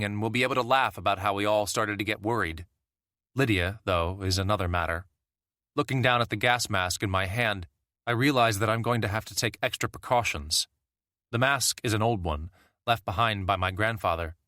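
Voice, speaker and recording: Low-voiced man narrating, bright and dry. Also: fairly quick, no echo. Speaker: audiobook narrator. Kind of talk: first-person audiobook narration.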